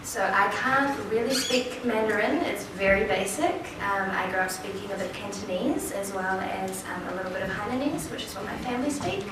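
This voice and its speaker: A chatty woman in her twenties with a New Zealand accent, telling a personal story at a forum. She sounds far from the mic, in a big hall full of echo. Steady background noise runs under her voice. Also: mid-range voice, fairly quick, hardly any pauses. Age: 20s. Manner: chatty, lively storytelling with quoted asides. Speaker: panel speaker. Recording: echoey hall from far off, noisy.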